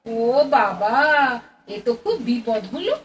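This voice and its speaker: A woman acting a middle-aged mother in a radio play, heard from a distance over noise. Her voice is high and swings freely in tune as she frets and chatters in a homely, exclaiming way. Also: very animated, slow pace. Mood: mildly defensive. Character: fussy, chatty middle-aged Bengali mother addicted to betel leaf. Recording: distant mic, noisy background.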